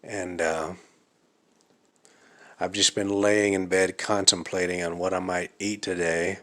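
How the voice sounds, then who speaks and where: A man talks to the camera alone in his living room at night, his voice a little raspy. He speaks slowly and haltingly, with plenty of ums and uhs. His low voice has a bright, forceful edge. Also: flat delivery. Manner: slow, halting, thinking aloud with many fillers. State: slightly raspy from fasting. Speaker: vlogger. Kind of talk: casual vlog monologue.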